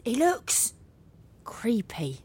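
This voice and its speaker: A woman's voice: on the high side, big pitch swings, a little slow. A woman playing a matter-of-fact housemate with a dry, deflating manner in an audio sitcom. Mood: uneasy.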